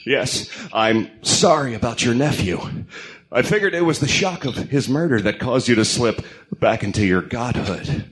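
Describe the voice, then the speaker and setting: A man voicing a stern masked vigilante in a comic-book radio drama, grim, deliberate and accusing. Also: mid-range voice, expressive, a bit bright, close to an everyday voice. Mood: cold, controlled.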